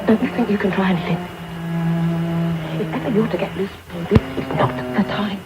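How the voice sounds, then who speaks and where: A woman's voice: mid-range voice, brisk, lo-fi sound, over loud music, close to an everyday voice. An actress playing a troubled, tough young woman of a thieves' gang in a radio drama, blunt and emotional. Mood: urgent, pained. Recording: noisy medium-wave radio broadcast tape.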